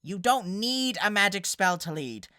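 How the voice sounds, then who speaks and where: A woman's voice: mid-range voice, very animated, unhurried, sharp tone, no room sound, studio quality. A woman voicing a frank, wry older-sister pony, a former ruler, in a cartoon parody. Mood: confessional.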